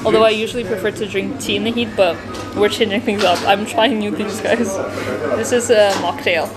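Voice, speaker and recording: A woman's voice: mid-range voice, fairly even intonation, fairly quick. A young woman from a post-Soviet country, a native Russian speaker, talking in a chatty and earnest way, full of "like" fillers, as she vlogs her travels. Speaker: travel vlogger. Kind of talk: casual vlog monologue. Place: outdoors and in shops and cafes on a trip. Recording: loud background music.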